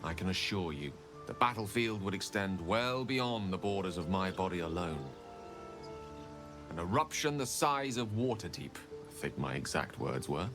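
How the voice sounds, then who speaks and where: An earnest, scholarly wizard speaks over prominent music. His voice sits fairly low, and he chooses his words with eloquent, theatrical care, like a remorseful storyteller in a fantasy video game. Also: lively intonation, normal speed. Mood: earnest, wry. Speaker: game character. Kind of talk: dramatic video game dialogue.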